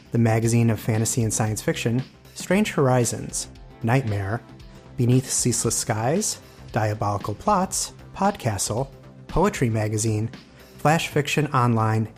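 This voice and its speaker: A man's voice: mid-range voice, expressive, measured pace, a little mellow, soft background music. A man hosting a literary podcast, reading scripted introductions and putting questions to a guest. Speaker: podcast host and editor.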